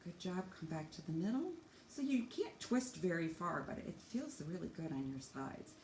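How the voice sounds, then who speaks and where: Echoey, low-voiced woman leading stretches. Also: sing-song, moderate pace, darkish tone. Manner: encouraging, simple step-by-step cues. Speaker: teacher leading a children's yoga stretching class. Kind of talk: instructional exercise guidance, demonstrating while talking. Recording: echoey room, faint background noise.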